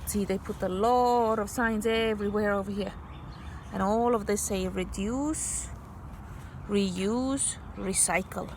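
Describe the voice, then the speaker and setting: A woman's voice: medium pitch, steady tone, normal speed, background noise. A woman teacher reading a picture book aloud to young children, patiently explaining things in simple words.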